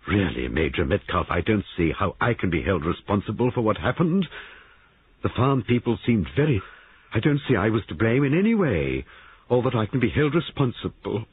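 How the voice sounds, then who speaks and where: A narrow, radio-like recording holds a disdainful, bitter woman as a man acts her out. She speaks in a snappish, superior way, with raised and animated pitch, like a storybook snob. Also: a little fast, few pauses, breathy edge, heavily acted. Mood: defensive indignation. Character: haughty, acid, bitter society woman.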